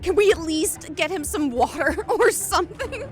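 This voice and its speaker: An actress playing a devoted, headstrong biologist on an oil rig in an audio drama, emotional and earnest. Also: female voice, very high-pitched, lively intonation, moderate pace. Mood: worried. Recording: music under the voice.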